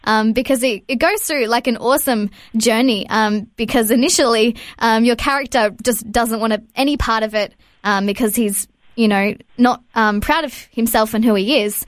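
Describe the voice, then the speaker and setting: Young woman co-host, chatty, lots of ums, slightly high voice, dry close sound. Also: steady tone, normal speed, few pauses, no echo. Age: 20s. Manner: chatty, long questions with many "um" fillers. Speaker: radio co-host. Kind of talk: casual interview chat.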